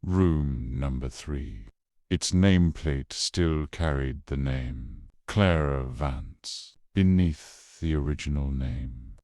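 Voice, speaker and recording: A man reading scripted horror narration in a documentary style, measured and solemn, with dramatic pauses. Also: a bass voice, dark tone, no room sound, clean studio sound.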